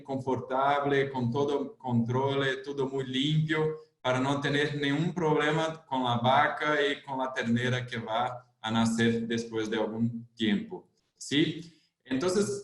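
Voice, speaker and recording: A man's voice: medium pitch, echoey room, rough recording. A man from Brazil speaking Spanish with a Brazilian Portuguese accent, explaining livestock topics in a webinar presentation. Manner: plain explanatory delivery with frequent "entonces".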